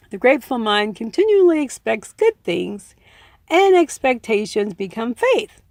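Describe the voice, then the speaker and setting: A woman narrates a self-help audiobook in a medium-pitched voice with a noticeable creak. Her phrasing is deliberate and emphatic, moving between read text and informal commentary. The sound is close and dry. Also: darkish tone, no echo. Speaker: audiobook reader adding personal commentary.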